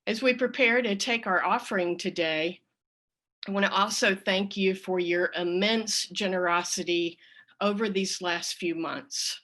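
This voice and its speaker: A woman speaking as a church leader, giving announcements and an offering appeal to her congregation in an appreciative, deliberate way. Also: mid-range voice, fairly bright tone.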